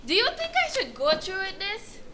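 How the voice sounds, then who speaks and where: A woman's voice: very high voice, huge pitch swings, normal speed, heavily acted. A woman playing a meek, shaken abused wife in an educational dramatization. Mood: self-blaming, distressed. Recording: clear background noise.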